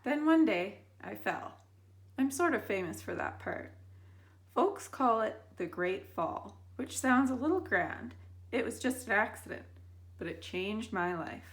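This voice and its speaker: A woman reads a children's story aloud in the style of a teacher at story time. Her voice sits at an average pitch for a woman. She phrases each line expressively and deliberately, leaving dramatic pauses for young listeners. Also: expressive.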